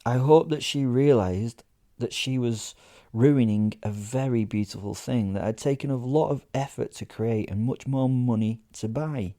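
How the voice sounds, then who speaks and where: A man's voice: medium pitch, unhurried, mellow. A man narrating a first-person horror comedy in character, conversational and sweary, with a sardonic, theatrically indignant manner.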